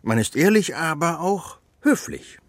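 Casting: gruff, kindly old craftsman for children's radio drama; husky, slow, hugely animated delivery. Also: a little high, no echo. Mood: gently instructing. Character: poor old male puppet maker, gruff but kindly. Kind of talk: German children's radio play acting.

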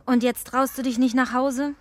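A woman's voice: high voice, steady tone, moderate pace, close to an everyday voice. A woman playing a young fairy pupil in a children's radio play, blunt, kind and full of self-doubt. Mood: gently urging. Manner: self-deprecating, matter-of-fact.

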